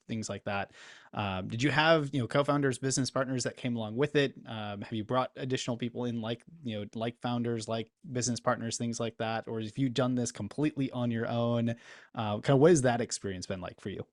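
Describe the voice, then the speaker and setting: A man's voice: medium pitch, fairly quick, dry recording, studio quality. A man hosting a business interview, rambling through questions with lots of fillers. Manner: rambling, with many fillers like "kinda" and "you know". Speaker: interview host and business coach.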